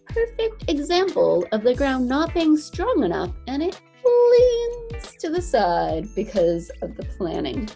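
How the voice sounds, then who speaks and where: Animated woman reading aloud to children. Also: high-pitched, slow pace, gravelly, hardly any pauses, faint music behind. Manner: animated, encouraging, explains things simply to children. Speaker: children's teacher. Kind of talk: picture book read-aloud for young children.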